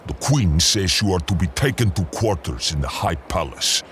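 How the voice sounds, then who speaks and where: A deep, cold palace guard. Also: male voice, animated, moderate pace, noisy background. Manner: stiff, threatening. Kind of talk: audio drama acting. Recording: music under the voice.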